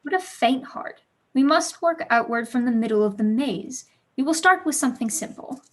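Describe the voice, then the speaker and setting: Casting: woman to play headstrong teenage girl pupil in period drama, highish voice, eloquent, eager, loves an argument. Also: lively intonation, normal speed. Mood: excited, defiant. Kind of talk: staged reading of a period play.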